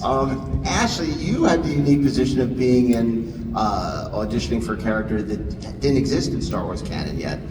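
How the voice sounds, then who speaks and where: A man moderating a convention panel, asking questions in a halting way with many fillers. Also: medium pitch, expressive, loud music behind the voice. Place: large convention hall. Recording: echoey hall recorded from far off, loud noise.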